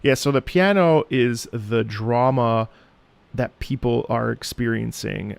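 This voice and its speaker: A man giving casual, analytical reaction commentary, thoughtful and often halting as he searches for words. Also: medium pitch, vocal fry, over music. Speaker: music reaction host, opera singer.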